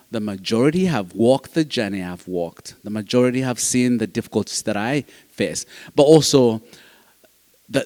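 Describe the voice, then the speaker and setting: A man's voice: fairly low pitch, a little mellow. Ugandan guy giving a motivational speech, english isn't his first language, emphatic, big echoey hall. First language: not English, which is a fourth language. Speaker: guest speaker, foster care advocate. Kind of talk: personal motivational talk to an audience. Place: large reverberant hall. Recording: long hall reverb.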